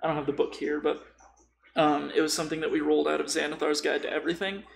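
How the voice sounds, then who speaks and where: A man's voice: a little high, little pitch movement, quick pace, gravelly. A man chatting casually about tabletop role-playing in an informal, rambling way full of tangents.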